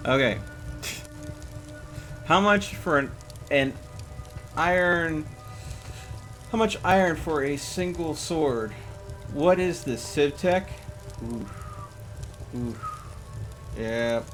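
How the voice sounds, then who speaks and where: A man with a fairly high voice gives casual live commentary on a game. He talks himself through what he is doing step by step and exclaims as he goes. Music plays behind his voice. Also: very slow, long pauses, fairly bright tone.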